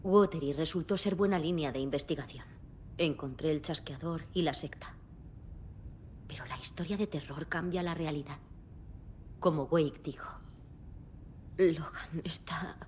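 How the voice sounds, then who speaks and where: An actress voicing a determined, direct investigator and protective mother in dramatic video game dialogue. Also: a little mellow. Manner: direct, resolute. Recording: faint background rumble and noise.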